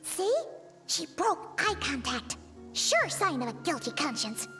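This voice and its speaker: A woman voicing a tiny floating female fairy companion in a game, who is blunt, nosy and chatty, with a high, squeaky, childlike voice. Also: big pitch swings, over music. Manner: blunt, chatty, quick to tease. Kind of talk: game dialogue.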